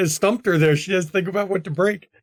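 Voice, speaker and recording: A man's voice: high voice, moderate pace. A man narrating as game master of a tabletop roleplaying game, teasing the players.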